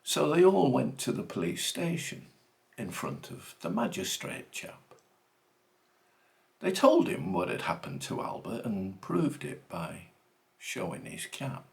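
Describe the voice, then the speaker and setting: A man theatrically reciting comic verse with dramatic, deliberate phrasing. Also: lowish voice, wildly swooping pitch, vocal fry, darkish tone. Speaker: reader of a comic monologue poem.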